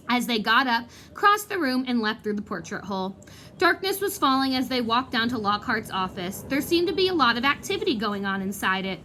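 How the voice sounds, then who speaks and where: A woman who teaches young children, speaking in an expressive read-aloud style. Her voice is fairly high and moves around a lot in pitch, with an informal classroom feel. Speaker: fourth grade teacher. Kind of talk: expressive read-aloud narration and casual address to pupils.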